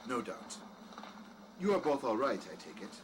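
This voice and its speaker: A man's voice: mid-range voice, big pitch swings, moderate pace, lightly acted, rough recording. A man playing a courteous, formal, faintly sinister doctor welcoming guests to his mansion in an audio drama. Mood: dry, formal. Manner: formal, courteous, old-fashioned phrasing. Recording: faint background noise.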